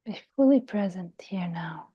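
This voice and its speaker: Slow, breathy woman guiding meditation. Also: fairly low pitch, expressive, highs cut off. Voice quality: soft, breathy, often near a whisper. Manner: slow, gentle, soothing with long pauses. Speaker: meditation guide. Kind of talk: guided meditation.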